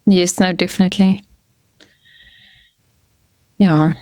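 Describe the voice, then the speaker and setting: A woman's voice: on the low side, steady tone, takes it slowly, mellow, no echo, clean studio sound. A middle-aged woman whose first language is Afrikaans, a podcast guest talking casually and hesitantly, with many fillers and restarts. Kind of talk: casual conversational interview.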